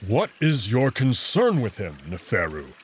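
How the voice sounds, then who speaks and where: A man's voice: mid-range voice, huge pitch swings. A man voicing a big, proud, protective wolf in a visual novel game, curt and guarded in manner. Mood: protective, stern. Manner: curt, guarded, slow and firm.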